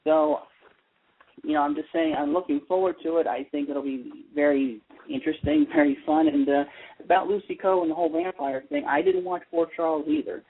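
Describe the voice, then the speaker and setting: A talkative American man with a gravelly, slightly high voice gives emphatic opinions in loose, rambling radio chat. The sound is thin, like a telephone call. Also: expressive, brisk. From United States. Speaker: guest commentator, soap opera fan. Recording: tinny phone line into internet radio.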